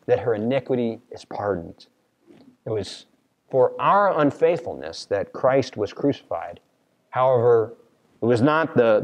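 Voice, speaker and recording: Unhurried American pastor preaching in reverberant church. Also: male voice, mid-range voice, darkish tone. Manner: earnest, measured delivery with deliberate pauses. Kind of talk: sermon. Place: large church sanctuary, at a pulpit. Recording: long reverb of a church hall.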